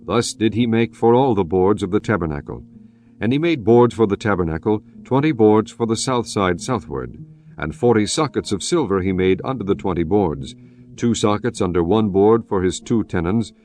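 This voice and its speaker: A man reading scripture as a narrator, in a measured, formal scripted style. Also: on the low side, expressive, a little mellow. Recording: music bed behind the voice.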